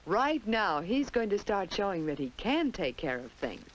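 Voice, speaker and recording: A woman's voice: medium pitch, big pitch swings, normal speed, slight background noise, low-quality recording. An American woman narrating a 1950s children's instructional film in a simple storytelling manner, on an old film soundtrack. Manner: simple, storytelling delivery addressed to young children.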